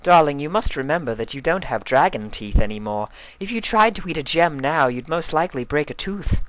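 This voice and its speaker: Man putting on a dainty, dramatic pony lady, pitched up. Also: expressive, fairly quick, a bit bright, low-quality recording. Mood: polite, refined. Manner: dainty, theatrical, genteel phrasing. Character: refined, dramatic lovesick young female pony lady. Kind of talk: storybook character voice in fan fiction reading.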